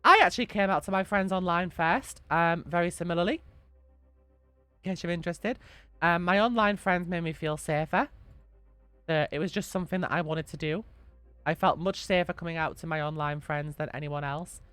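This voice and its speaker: A young woman, a little hoarse from being sick, gently answering chat questions on a live stream and repeating her reassuring advice. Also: on the low side, moderate pace, dry recording.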